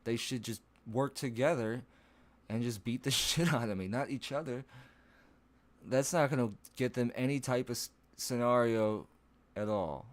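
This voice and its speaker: A man chatting casually on a live stream from home, rambling and swearing, laughing at himself, with a low voice that breaks into odd higher notes. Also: normal speed.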